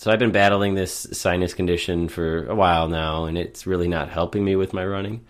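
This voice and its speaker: A man co-hosting a running podcast in casual chat, hoarse and a little off from a lingering sinus illness. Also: low voice, fairly even intonation, fairly quick. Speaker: podcast co-host.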